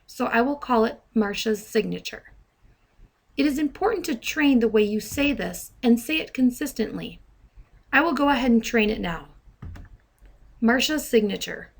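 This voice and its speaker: A woman presenting step-by-step software training in a deliberate, instructional manner. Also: fairly high pitch. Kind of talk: step-by-step tutorial narration. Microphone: handheld dictation microphone.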